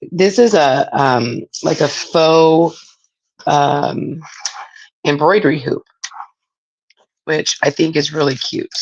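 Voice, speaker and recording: A middle-aged woman, raspy from a spring cold, chatting casually as she hosts a live craft demo and greets viewers by name. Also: sits low, darkish tone, dull audio. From Southern California. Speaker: craft livestream host. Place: home craft room.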